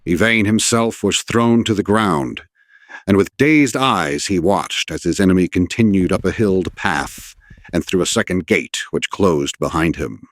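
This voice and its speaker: A man's voice: low-pitched, expressive, a little slow, no echo. A man narrating a scripted legend as a dramatic storyteller, in a formal, literary and theatrical manner. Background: orchestral score.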